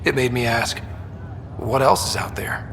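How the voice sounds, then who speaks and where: A man's voice: medium pitch, unhurried, creaky. A man playing a wry, wistful young soldier in a sci-fi video game, speaking in a reflective, conversational way. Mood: nostalgic. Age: young adult. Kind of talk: naturalistic video game dialogue acting. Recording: reverberant room, loud ambient hum behind.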